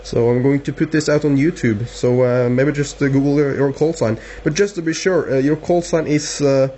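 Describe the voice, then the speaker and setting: A man with a Norwegian accent chatting casually as a radio operator, halting and repeating words. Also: mid-range voice, fairly even intonation, warm tone. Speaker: amateur radio operator filming the contact. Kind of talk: casual two-way radio chat. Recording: dull lo-fi camera sound, faint hiss.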